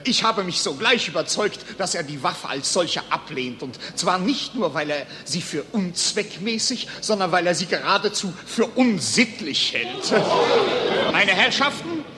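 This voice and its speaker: Pompous, high-pitched doctor in a hall. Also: male voice, very animated, bright, forceful tone, exaggerated voice. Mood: scandalised, mocking. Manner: theatrical, declamatory, with drawn-out emphasis. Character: pompous, excitable male psychiatrist presenting a patient to colleagues. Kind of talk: mid-20th-century German radio play acting. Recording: old radio recording, hall reverb, hiss.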